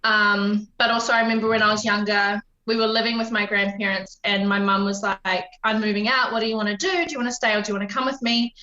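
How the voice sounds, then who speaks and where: A woman's voice: medium pitch, steady tone, quick pace, few pauses, bright, forceful tone, reverberant, highs cut off. A woman in her twenties with a New Zealand accent, a podcast guest telling her life story openly and reflectively, with lots of "um" fillers. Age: 20s. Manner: open, reflective, many "um" fillers. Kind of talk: casual conversational storytelling.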